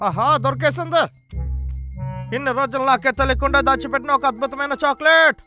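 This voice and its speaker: An actor voicing a scheming, excitable, short-tempered male logger in a dubbed cartoon, wheedling and exclaiming theatrically. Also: high-pitched, fairly quick, soft background music. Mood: scheming, muttering.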